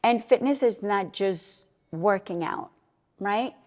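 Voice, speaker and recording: A woman's voice: mid-range voice, expressive, slow pace, a little mellow, long reverb tail. A woman from Colombia, speaking earnestly and reflectively as an interview guest. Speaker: interview guest, fitness coach and gym owner. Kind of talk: personal interview conversation.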